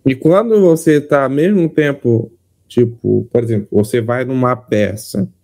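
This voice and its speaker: Brazilian male podcast interviewer. Unhurried, halting delivery, soft dark tone. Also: mid-range voice, vocal fry. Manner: halting, searching for words. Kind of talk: casual interview.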